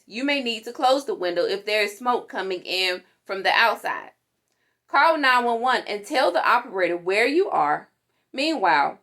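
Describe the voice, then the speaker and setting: A woman reading a children's safety book aloud in an animated, instructive manner. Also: a little high. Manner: animated, instructive, acts out sound words. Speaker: storytime reader.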